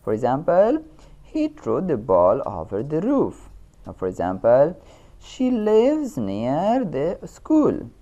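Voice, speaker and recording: Female teacher, Pakistani accent, low voice with hugely varied melody, reading a lesson deliberately and instructively. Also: huge pitch swings, mellow, dull audio. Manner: instructional, deliberate, repeats key terms. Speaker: English grammar teacher. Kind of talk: scripted lesson narration with examples.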